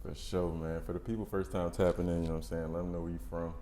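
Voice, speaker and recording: Deep voiced guy interviewing, talks fast and slangy, room echo. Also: little pitch movement, dark tone. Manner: slangy, informal, profane. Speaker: interviewer, host. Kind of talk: casual chat interview.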